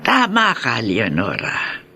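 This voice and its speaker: Older mother-in-law in a radio drama, slow n pleading, voice swings with emotion. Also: very deep voice, wildly swooping pitch, bright, forceful tone, a little hiss or hum, heavily acted. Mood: subdued, sad. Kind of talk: Tagalog radio drama acting.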